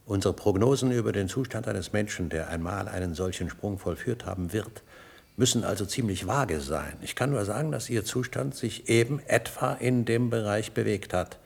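A pushy doctor in a radio drama, low gravelly voice, husky, digs for answers. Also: male voice. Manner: insistent, probing, precise. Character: male doctor, insistent, probing, irascible scientific investigator. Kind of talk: German radio play acting. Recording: vintage radio play recording.

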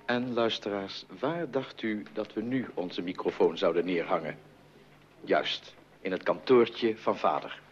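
A man announces a radio play in an old-time scripted style. His voice is fairly low. The old recording is muffled, with loud music. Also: measured pace.

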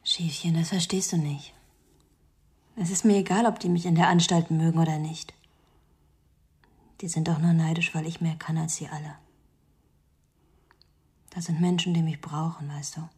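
Low-voiced, patronizing woman, hushed. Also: fairly even intonation, moderate pace, long pauses. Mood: patronizing, self-assured. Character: condescending, self-assured female institution worker soothing loved one. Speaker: radio drama actor. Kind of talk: intimate radio drama acting.

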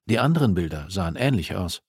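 A man's voice: sits low, very animated, quick pace, breathy edge, no echo, studio quality. A man reading a suspenseful story as an audiobook narrator, in scripted, dramatic narration.

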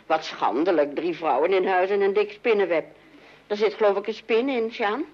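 A woman's voice: medium pitch, normal speed, dull audio, lightly acted. A woman playing a middle-aged housewife and mother in a radio play, chattering, fussy and easily distracted. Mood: fidgety, fussing. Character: chatty, fidgety mother and housewife posing for her son.

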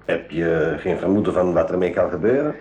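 An actor playing an easygoing, inquisitive expedition assistant in a school radio drama. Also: male voice, sits low, a little fast, darkish tone, lo-fi sound, natural voice.

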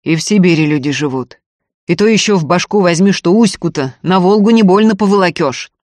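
A narrator playing a stolid, stubborn, gruff peasant man who speaks bluntly in an audiobook. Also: medium pitch, brisk, a little mellow, dry recording, voice pushed up, close to an everyday voice.

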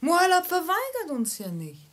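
A woman's voice: very high voice, wildly swooping pitch, moderate pace, exaggerated voice. An actress playing a composed, commanding woman of a bandit camp in a German radio play, with theatrical delivery. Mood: cool, commanding.

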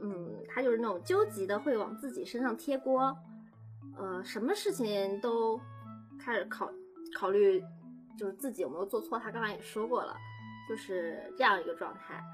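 A woman in casual behind-the-scenes chat, talking in halting, repetitive phrases. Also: medium pitch. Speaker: audio drama crew member. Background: music.

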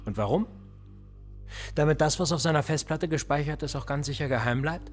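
An actor playing a cool, sardonic male police interrogator in a radio play, calmly probing and sarcastic. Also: on the high side, a little fast, soft background music, natural voice.

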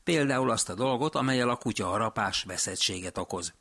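A man hosting a science radio program, reading scripted narration in a measured, deliberate way. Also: fairly low pitch, fairly bright tone, slightly rough, no echo, studio quality.

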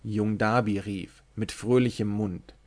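A man voicing a staring young man who recites a ballad in a half-sung monotone in a German audiobook. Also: fairly low pitch, a little slow. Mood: chanting.

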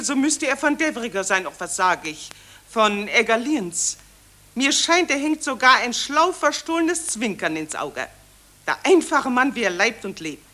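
A self-important lady war reporter in a satirical radio play. Her voice is high and bright, swooping widely as she declaims in grand, affected phrases, thrilled by the battle. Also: heavily acted. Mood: gushing. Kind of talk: satirical radio drama acting.

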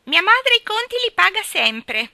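A woman's voice: big pitch swings, moderate pace, pushed and bright, dry recording, heavily acted. A woman voicing a spiteful, gleeful little girl who mocks and mimics in a storybook reading. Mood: mocking. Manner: taunting, mimicking, shrill.

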